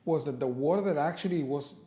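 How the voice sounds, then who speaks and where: A man's voice: on the high side, fast talker, dark tone. A man co-hosting a studio talk show, easygoing and joking, rambling a little as he chats. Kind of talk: casual studio chat. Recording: roomy studio echo.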